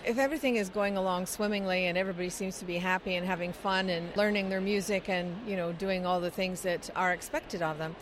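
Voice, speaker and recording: A middle-aged woman from Scotland explains things patiently in an interview, her voice sitting fairly low. She pads her points with small fillers, and a faint hum of traffic lies behind her. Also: fairly even intonation, fast talker, few pauses. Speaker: interviewee, chorus singer. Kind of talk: interview answers.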